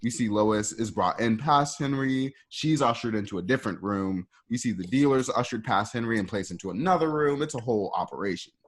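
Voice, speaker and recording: A man's voice: medium pitch, brisk, few pauses. A man narrating screenplay action for a radio play in an informal, hesitant way, with conversational asides. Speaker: narrator reading stage directions.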